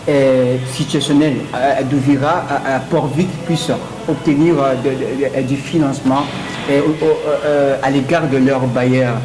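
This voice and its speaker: A man with a Congolese French accent moderating a debate, summing up and handing over in a halting, stumbling way. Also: medium pitch. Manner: halting, stumbles and repeats words. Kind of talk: spoken recap and handover in a debate. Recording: echoey room with background noise.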